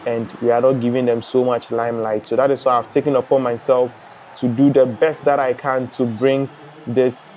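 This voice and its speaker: A young man from Ghana hosting a live football watch-along, chatting and reading out viewer comments in a relaxed way. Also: mid-range voice, muffled recording. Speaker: live stream host.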